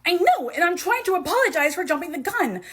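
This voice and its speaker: A woman playing a nervous, apologetic nerdy young man in an amateur audio drama, pleading and stammering, swearing as he goes, in a husky voice. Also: a little high, animated, fairly quick.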